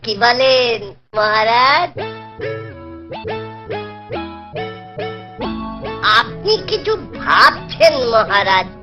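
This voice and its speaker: An actor voicing a devoted, witty court jester who pleads and flatters respectfully in a Bengali children's cartoon. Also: medium pitch, takes it slowly, dull audio, music in the background. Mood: reassuring.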